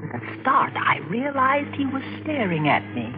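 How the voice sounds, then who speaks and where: A woman's voice: high-pitched, sing-song, normal speed, over music. A woman playing a tense, haughty married woman in the dramatic, clipped style of 1940s radio drama. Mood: suspenseful, haunted. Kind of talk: 1940s radio drama acting and first-person narration. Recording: noisy, muffled 1940s radio recording.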